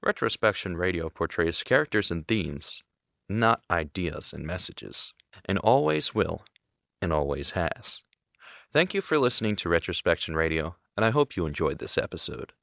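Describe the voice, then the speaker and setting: A man presenting an audio drama series, reading a scripted disclaimer in a measured, emphatic way. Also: deep voice, sing-song, gravelly, a bit bright, dry recording.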